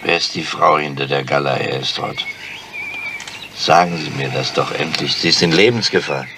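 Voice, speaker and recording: An actor playing a dogged, weary police inspector in a crime radio play, speaking in a gruff, terse manner. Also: male voice, a bass voice, normal speed. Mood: insistent. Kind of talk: crime radio drama acting. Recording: noisy old radio play.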